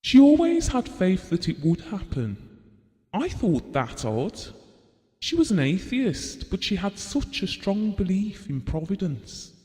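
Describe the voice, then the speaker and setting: A man's voice: sits high, sing-song, measured pace, dark tone. A man acting a devoted middle-aged husband in an audio drama, reminiscing tenderly and wistfully. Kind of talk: theatrical audio drama monologue and dialogue. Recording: long hall-like reverb.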